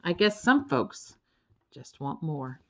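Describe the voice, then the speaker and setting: Woman as a lively drawling backwoods bird-turtle critter. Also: sits low, heavily acted. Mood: laid-back, folksy. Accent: rural Southern US. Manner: drawling, folksy, unhurried. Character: easygoing folksy half-bird half-turtle creature, lazy and contented. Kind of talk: children's storybook character voice.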